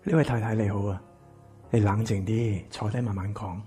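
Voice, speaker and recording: A man's voice: lowish voice, steady tone, moderate pace, mellow, soft background music, natural voice. A man playing a kind, wistful man with family regrets in a Cantonese radio drama. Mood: sober, reflective.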